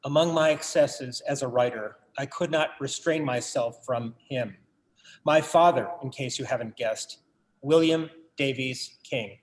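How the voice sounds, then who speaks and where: An actor in a staged reading, playing a bereaved writer son who tells his family story in a measured, literary, wry way. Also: medium pitch, lightly acted, poor audio. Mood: wry, nostalgic. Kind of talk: dramatic monologue in a staged reading.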